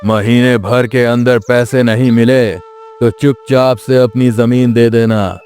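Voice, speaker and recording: A man voicing a haughty, threatening village landlord in an animated moral story. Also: mid-range voice, slow, faint music behind, close to an everyday voice. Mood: menacing. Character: arrogant, menacing village landlord, adult man.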